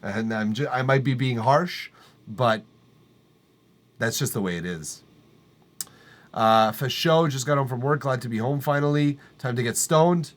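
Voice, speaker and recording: A man's voice: medium pitch, a little slow, long pauses. Male streamer with a tenor voice, laid-back, profane and rambling, with lots of ums. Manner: loose, profane, rambling with fillers and restarts. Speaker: livestream music feedback host. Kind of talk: casual live-stream chat. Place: acoustically treated home studio room.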